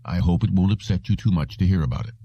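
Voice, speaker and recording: A man's voice: sits low, animated, creaky, husky, dry recording, full character voice. A man voicing a stern, formal, patient elderly psychiatrist in an audiobook. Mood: measured, grave. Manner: formal, wordy, deliberate. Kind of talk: audiobook character reading. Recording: dull, muffled lo-fi audio.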